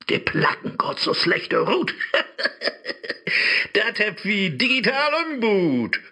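An actor playing a sly, scheming male devil who lives in a phone app, with a smooth, sneering voice, in a rhymed radio play. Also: mid-range voice, bright, forceful tone, heavily acted.

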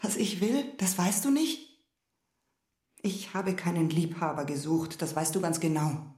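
A woman playing a proud, wounded wife in a German radio drama, reproachful and intense, sometimes dropping into hushed asides. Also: on the low side, a little slow, echoey room, lightly acted. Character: wounded, proud wife confronting her husband and lover.

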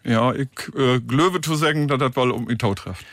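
A man's voice: mid-range voice, normal speed, a bit bright, no room sound, studio quality. A middle-aged man from Emsland as a guest on a radio show, friendly and plain-spoken, chatting and laughing a little. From Emsland, northern Germany. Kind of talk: casual radio interview. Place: radio studio. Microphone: studio microphone.

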